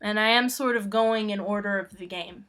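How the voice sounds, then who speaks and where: Bright-voiced woman chatting casually. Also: mid-range voice, normal speed, soft background music. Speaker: comic creator answering fan questions. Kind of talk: casual playful chat.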